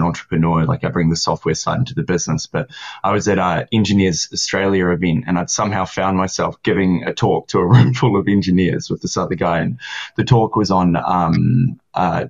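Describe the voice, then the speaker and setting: A man in his thirties from New Zealand with a New Zealand accent, casually telling a story as a podcast guest, laughing as he talks. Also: low voice. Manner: animated, laughing through his story, gesturing. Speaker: software co-founder, guest. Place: small room at home. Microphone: video call webcam. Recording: dull lo-fi call audio.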